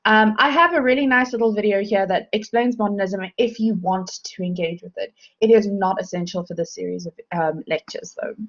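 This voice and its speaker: A woman giving a recorded university lecture, explaining in a measured way with frequent ums. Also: medium pitch, lo-fi sound.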